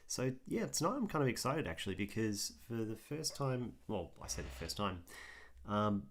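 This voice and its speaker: A hoarse man, chatting casually and thinking aloud while he draws on a livestream, with lots of ums. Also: lowish voice, sing-song, moderate pace. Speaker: artist streaming his drawing.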